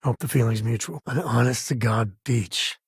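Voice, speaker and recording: A husky-voiced male space explorer for a video game, wry and good-hearted. He talks in a casual, folksy way with dry sarcasm, at a middling pitch. The recording is close and dry, with no room sound. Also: moderate pace, dry recording. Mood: wry, friendly. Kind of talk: video game character voice lines.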